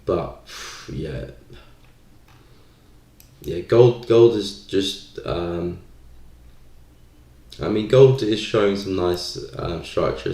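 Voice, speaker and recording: Low gravelly guy doing chill live stream commentary, thinking out loud, roomy echo. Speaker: live stream trading host. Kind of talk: casual live market commentary. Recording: roomy, echoey sound.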